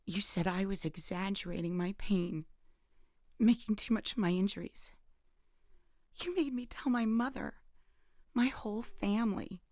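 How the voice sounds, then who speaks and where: An actress playing a bitter, wounded imprisoned wife in an audio drama monologue, speaking in a halting, intimate, emotionally charged way with a creaky voice. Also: female voice, mid-range voice, dry recording, clean studio sound, close to an everyday voice. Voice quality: gravelly, creaky. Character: bitter, wounded imprisoned wife who killed her abusive husband.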